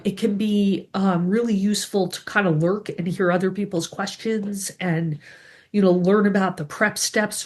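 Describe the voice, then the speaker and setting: A woman with a stuffy, congested voice from a cold, hosting a members' video call about grant writing and chatting through updates with many ums. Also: fairly low pitch. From New England. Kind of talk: casual video call briefing.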